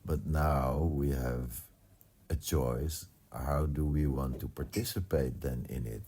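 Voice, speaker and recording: A man musing aloud in casual, reflective spiritual talk, with a halting delivery and a gravelly voice. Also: very deep voice, mellow.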